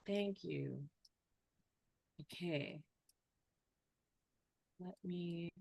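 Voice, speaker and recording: A woman from Hawaii giving an earnest webinar talk as a cultural trainer, speaking softly with frequent ums. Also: on the low side, very slow, creaky, no room sound. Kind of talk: webinar presentation. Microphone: video call.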